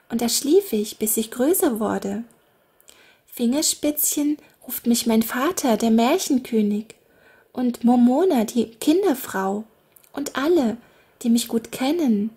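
A carefree little fairy-king's daughter in a storybook, chattering lightly in a raised voice, as a woman puts her on. She talks slowly and a little breathily. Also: a little high, animated, dark tone, husky. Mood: chatty.

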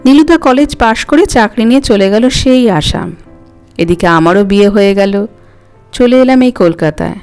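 A woman's voice: medium pitch, animated, moderate pace, a little mellow, clean tone, close to an everyday voice. A woman voicing an elderly grandmother who is coy, wistful and fondly scolding in a dramatic story reading. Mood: reminiscing. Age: older. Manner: coy, reminiscing, mildly snappish. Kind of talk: dramatic audiobook character reading. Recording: music under the voice.

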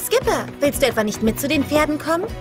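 Upbeat young cartoon woman, kind, high pitch, very expressive, over clear music. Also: fast. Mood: cheerful, gentle. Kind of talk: German animated film dub. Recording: music clearly under the dialogue.

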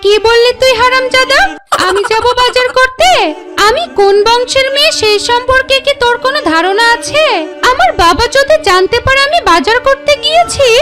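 A woman voicing a short-tempered vixen wife and mother in a children's cartoon, nagging in a shrill, exaggerated way. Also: lively intonation, moderate pace, music in the background. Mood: furious.

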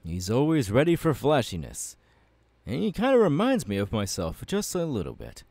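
A man playing a confident, fiery anime swordsman mentor in an ASMR audio roleplay, talking to the listener with measured, dramatic pauses. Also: medium pitch, wildly swooping pitch, creaky, a little mellow, no room sound, full character voice. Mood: welcoming, instructive. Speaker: voice actor.

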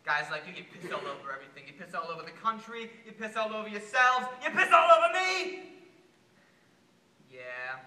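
An actor playing an unhinged, bitter working-class American would-be assassin in a theatrical stage monologue, veering erratically between shouted rage and hushed pleading. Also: male voice, high-pitched, sing-song, measured pace, pauses often, heavily acted. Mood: furious. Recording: distant, echoey amateur stage recording, faint noise.

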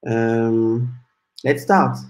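A man in his forties from France, giving a talk on a video call with many "uh" pauses. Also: mid-range voice, fairly even intonation, darkish tone. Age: 40s. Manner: measured, frequent "uh" fillers. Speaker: guest speaker, software developer. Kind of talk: conference talk presentation. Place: small room at home. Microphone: video call webcam.

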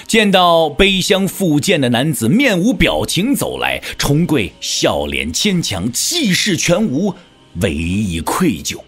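Male narrator, husky, hugely varied intonation, theatrical, scripted wuxia storytelling. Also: fairly high pitch, slow, soft background music. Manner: theatrical, expressive.

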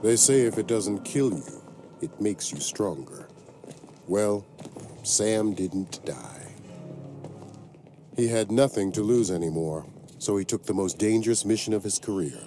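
Somber American male spymaster, very deep voice, reflective and plain-spoken, game narration over music. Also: animated, measured pace, long pauses. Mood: somber, regretful. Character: somber, world-weary older male spymaster, loyal and regretful. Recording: music behind the voice.

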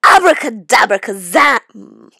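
A gleefully cruel storybook monster schoolteacher, acted out by a woman. She cackles and stretches her words very slowly, with sinister menace and lively swings in pitch. The sound is close and dry. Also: vocal fry, breathy edge, no echo, full character voice. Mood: cackling mockery. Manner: cackling, drawn-out sinister delivery. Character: menacing monster teacher, slithering green female, gleefully cruel.

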